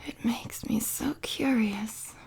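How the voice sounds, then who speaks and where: A woman playing an unhinged, flirtatious female supervillain prisoner in a roleplay audio drama, with a breathy, husky, whispery voice and a teasing, mock-sweet manner. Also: a little high, a little mellow, full character voice, low-quality recording. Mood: obsessive, fascinated. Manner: slow, teasing, sultry, mock-sweet taunting.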